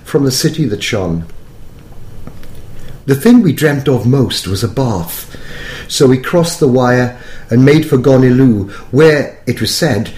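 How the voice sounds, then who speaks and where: A man voicing a posh young English officer of the First World War in a measured, precise poetry reading with a posh English accent. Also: medium pitch, animated, darkish tone. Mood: measured, reflective. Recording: echoey room.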